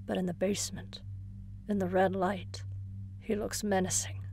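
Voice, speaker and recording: A woman's voice: mid-range voice, little pitch movement, moderate pace, vocal fry, darkish tone, a little hiss or hum. A woman narrator reading a suspenseful scripted horror story.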